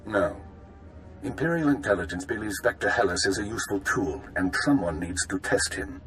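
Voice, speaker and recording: Low-voiced, curt spymaster. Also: male voice, animated, normal speed, fairly bright tone, faint music behind. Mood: stern, commanding. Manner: clipped, formal, businesslike. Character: curt, authoritative spymaster man commanding agents. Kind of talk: video game voice acting.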